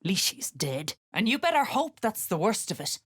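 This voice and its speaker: A woman's voice: mid-range voice, sing-song, normal speed, slightly rough. A woman voicing a cruel, volatile cultist henchwoman in a video game, sneering and taunting. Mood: bitter, vicious. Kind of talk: video game character acting.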